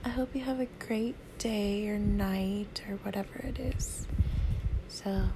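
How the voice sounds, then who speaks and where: A young woman hosting her first solo podcast, talking casually in a soothing, soft-spoken, rambling way. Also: medium pitch, steady tone, a little slow, background noise. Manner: soft-spoken, rambling, laughs between thoughts. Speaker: podcast host.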